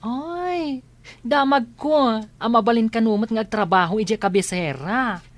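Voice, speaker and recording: A woman's voice: fairly high pitch, measured pace, close to an everyday voice. A woman with a northern Philippine accent playing a curious, friendly young villager in a radio drama. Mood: curious, eager.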